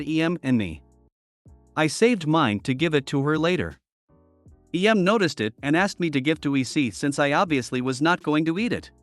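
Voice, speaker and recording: Male narrator for reddit story readings, higher register, dry close mic, faint music bed. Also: dry recording. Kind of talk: scripted narration reading posts.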